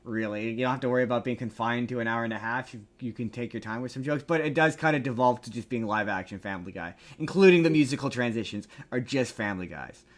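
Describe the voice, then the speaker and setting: A man's voice: mid-range voice, fast, hardly any pauses. Rambling, opinionated man chatting casually. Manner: rambling, opinionated, often restarts and repeats himself. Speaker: podcast host. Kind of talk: casual pop-culture news chat.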